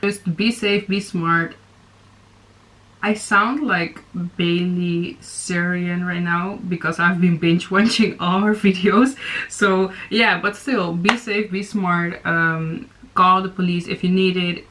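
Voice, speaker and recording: A woman's voice: on the low side, steady tone, takes it slowly, a bit bright. A young woman hosting a reaction video, talking casually with many fillers and restarts. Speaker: YouTube reaction host.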